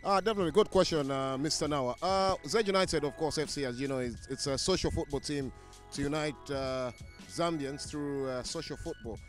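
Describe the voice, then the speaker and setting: A man's voice: a little high, fairly quick, soft background music. A man speaking as a football club's publicity secretary, formal, with frequent fillers. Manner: frequent uhs, formal phrasing.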